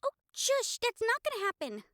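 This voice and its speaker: A woman acting as a newborn vampire with a notably high feminine voice. She is emotive and troubled, breaking her phrases with sighs and pauses in an audio drama style, recorded close and dry. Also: wildly swooping pitch, a bit bright, no room sound, exaggerated voice. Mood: embarrassed, snappy. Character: angry, hurt newborn vampire woman, former hunter, venting to partner.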